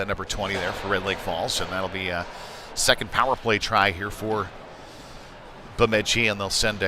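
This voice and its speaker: A man doing live play-by-play of youth hockey in a casual, folksy, slightly hesitant way. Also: lowish voice. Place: ice rink, live stream broadcast. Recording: clear rink noise behind the voice.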